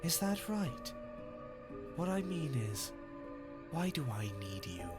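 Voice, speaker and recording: A slow, sly, needling servant. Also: male voice, fairly high pitch, sing-song. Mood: mock-humble. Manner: mock-deferential, insinuating. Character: sly servant of a count, mock-humble and needling. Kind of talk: dark fantasy audio drama acting. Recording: loud music behind the voice. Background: music.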